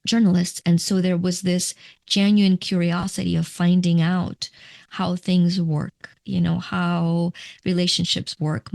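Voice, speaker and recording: Low, gravelly middle-aged woman from Ukraine. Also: a little mellow, no echo, clean studio sound. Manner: reflective, explanatory, with small restarts. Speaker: guest, coach. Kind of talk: interview answers.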